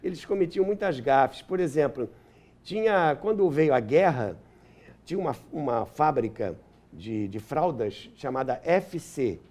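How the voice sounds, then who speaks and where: A man's voice: medium pitch, a little mellow. A man, telling anecdotes as an interview guest in an enthusiastic, nostalgic way. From Brazil. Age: 70s. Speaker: interview guest, radio historian and author. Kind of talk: animated anecdotal storytelling in an interview.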